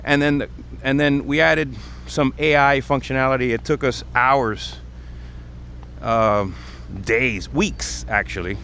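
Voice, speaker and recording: A man's voice: mid-range voice, steady tone, slow pace, a bit bright. A man with a mid-range voice talks casually to his livestream audience, explaining things as he goes. He is outdoors on a city street, with faint traffic and urban noise behind him. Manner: explanatory, conversational. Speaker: livestream host. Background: traffic and urban ambience.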